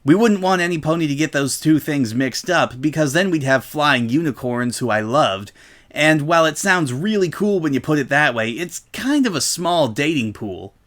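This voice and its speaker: A man's voice: fairly high pitch, animated, normal speed, fairly bright tone. A man voicing a brash female pegasus pony who rambles on with feigned cluelessness. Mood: feigned innocence.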